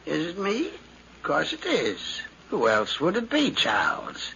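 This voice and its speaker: An actor playing a fussy, domineering older uncle, querulous and deliberate, in the style of 1950s radio drama. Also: male voice, fairly high pitch, huge pitch swings, creaky, pushed and bright, heavily acted. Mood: insistent. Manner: deliberate, insistent, peevish. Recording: muffled, faintly hissy 1951 radio recording.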